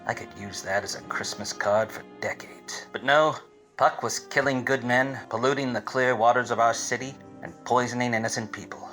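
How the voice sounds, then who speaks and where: Guy doing a 1940s radio detective, wry n deadpan, kinda dense, faint music underneath. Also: mid-range voice, moderate pace, natural voice. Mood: brooding. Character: wisecracking, obtuse 1940s private detective. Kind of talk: 1940s radio detective acting with hard-boiled narration.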